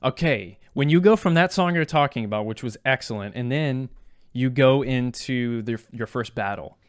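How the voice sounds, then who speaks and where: A man's voice: medium pitch, moderate pace, smooth. A man co-hosting a casual video game podcast, speaking in an enthusiastic, emphatic and slangy way. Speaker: podcast co-host.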